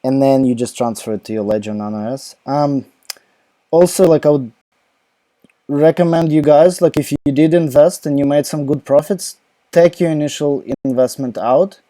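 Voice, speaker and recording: A young Ukrainian-accented man answers questions live from home into his laptop microphone. His voice is very dark and mellow, and the sound is dry and close. He rambles casually, full of fillers and false starts. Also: fairly high pitch, fairly even intonation, moderate pace, no echo. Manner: rambling, many fillers and restarts. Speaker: crypto live Q&A host. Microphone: laptop mic.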